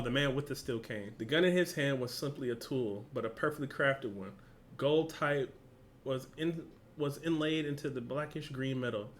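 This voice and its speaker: Man, reaction host, casual slangy delivery, medium pitch, reading and commenting, noticeable background noise. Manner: informal, slangy, swearing, exclamatory asides.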